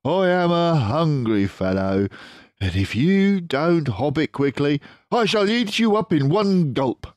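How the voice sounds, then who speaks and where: A sly, self-assured gentleman fox, as played by a man reading a storybook. The voice leaps high and swoops widely, lingering theatrically on words with slow, emphatic relish. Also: very animated, no echo. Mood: menacing, suave. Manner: theatrical, emphatic, drawn-out delivery. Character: cocky, suave male fox, sly leader and provider.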